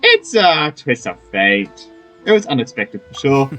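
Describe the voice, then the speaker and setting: A man's voice: mid-range voice, very animated, measured pace, pushed and bright. A man giving casual playthrough commentary as a gaming streamer. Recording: game music behind the voice.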